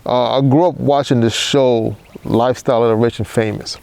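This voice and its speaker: A middle-aged man, born in Haiti and raised in New York, co-hosting a podcast and talking about his background in a relaxed, steady way with many fillers. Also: medium pitch, normal speed, creaky, smooth. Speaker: podcast co-host. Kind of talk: casual chat.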